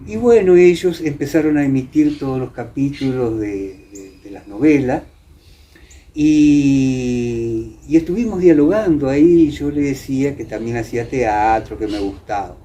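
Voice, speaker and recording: A man's voice: medium pitch, expressive, moderate pace, mellow, slight background noise. An Argentine man, middle-aged or older, explaining and reminiscing in an interview with hesitant phrasing and frequent tag questions. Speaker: interviewee, former radio actor.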